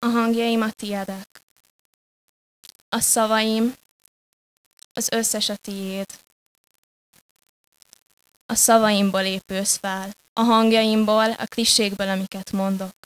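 A young woman performing spoken-word poetry live, deliberate and dramatic, moving between hushed and forceful delivery. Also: mid-range voice, level tone, smooth, rough recording. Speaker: slam poet. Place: live poetry slam stage.

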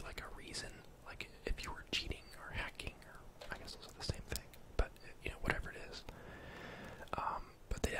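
A young man whispering casual, rambling ASMR commentary over a game, close to the mic in a breathy, unvoiced voice. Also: poor audio. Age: young adult. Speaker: gaming ASMR host. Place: indoor room at home.

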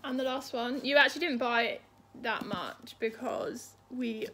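A woman's voice: on the high side, measured pace, a bit bright. A woman in her twenties hosting a casual book chat and prompting her companion. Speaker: book vlog host. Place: small room at home, indoors. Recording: faintly noisy home room recording.

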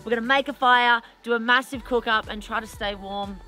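A woman's voice: on the high side, fairly even intonation, fairly quick, sharp tone. Young aussie woman vlogging, chatty and upbeat, music under her. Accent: Australian. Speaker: vlog host. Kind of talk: casual travel vlog narration.

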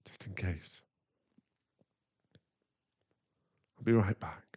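A man's voice: deep voice, darkish tone, no echo. Casting: male actor as anxious, caring werewolf boyfriend for ASMR audio; close, dry, slightly dull recording, soothing and rambling. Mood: tender concern. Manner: halting, rambling, soothing, breathy sighs.

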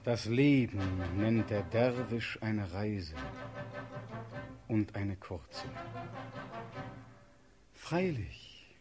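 An actor playing a brooding, proud young prince in a classic verse radio drama, with solemn, declamatory delivery. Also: male voice, on the low side, lively intonation, extremely slow, pauses often, loud music behind the voice.

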